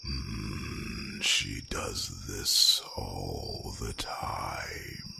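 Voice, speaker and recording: Rumbling, gravelly ancient dragon. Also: male voice, steady tone, very noisy, full character voice. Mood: amused. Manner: slow, deliberate, murmuring. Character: ancient deep-voiced male dragon, patient and slyly amused. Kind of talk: ASMR fantasy roleplay.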